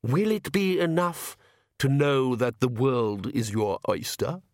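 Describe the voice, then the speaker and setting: A man's voice: medium pitch, expressive, slow, dry recording, studio quality. A man voicing a haughty, scheming middle-aged vampire count in a storybook, urbane and patronising. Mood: gleeful, persuasive. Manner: theatrical, urbane.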